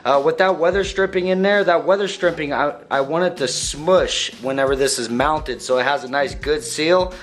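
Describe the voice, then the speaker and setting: A young man with a slightly hoarse voice, walking through a car build in a casual vlog and tossing in dude and bro. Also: a little high, moderate pace, few pauses. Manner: laid-back slangy, says dude and bro. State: slightly hoarse, losing his voice.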